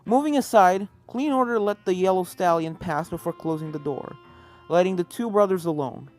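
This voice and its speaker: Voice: mid-range voice, moderate pace, a little mellow. Story narrator reading over game music. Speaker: fan fiction reader, narrator. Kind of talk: scripted story narration with character voices. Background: video game soundtrack music.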